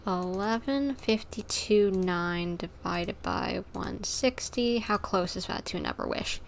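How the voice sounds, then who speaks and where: A woman's voice: medium pitch, unhurried, gravelly, a little hiss or hum. A woman livestreamer chatting informally with her viewers, rambling and easily sidetracked. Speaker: live streamer. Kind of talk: casual livestream chat with viewers.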